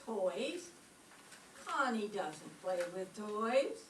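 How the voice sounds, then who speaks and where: A woman's voice: medium pitch, sing-song, extremely slow. A woman playing a cheerful, helpful landlady in a radio comedy. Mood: obliging. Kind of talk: old-time radio comedy acting. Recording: echoey room, distant mic, faint noise.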